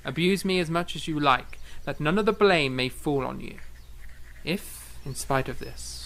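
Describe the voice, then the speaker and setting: A man's voice: mid-range voice, vocal fry, fairly bright tone, slight background noise, rough recording. A man playing a proud, fiery young eighteenth-century composer in a period audio drama, speaking formally and emphatically with theatrical flair.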